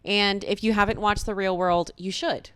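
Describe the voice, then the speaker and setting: A woman in her late twenties co-hosting a podcast in casual, teasing banter with a friend, chatty and quick to swear. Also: mid-range voice, steady tone, a bit bright, clean tone, dry recording, clean studio sound. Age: late 20s. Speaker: podcast co-host.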